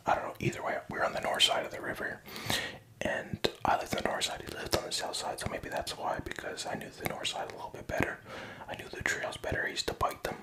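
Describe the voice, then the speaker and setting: A man's voice: fast, sharp tone. A young man whispering a rambling personal story, profane and self-mocking.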